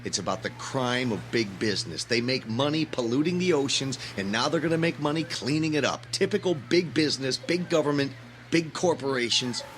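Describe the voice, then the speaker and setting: A man's voice: mid-range voice, animated, brisk, a bit bright, faint music behind, close to an everyday voice. A man acting in an audio drama as an earnest, idealistic young graduate student with an intense, preachy manner. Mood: righteous.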